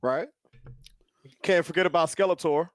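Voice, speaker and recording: A man's voice: a little high, moderate pace, no echo, clean studio sound. A man co-hosting a casual podcast, teasing and bantering with the host.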